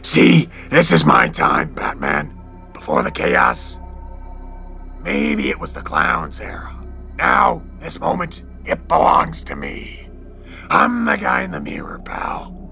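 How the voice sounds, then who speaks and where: An actor playing a scarred, taunting crime boss with a split personality in an audio drama, theatrical and gravelly, breaking into harsh whispers. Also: male voice, extremely low pitch, wildly swooping pitch, sharp tone, faint music behind, heavily acted. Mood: gloating menace. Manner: theatrical, swinging, drawn-out menace.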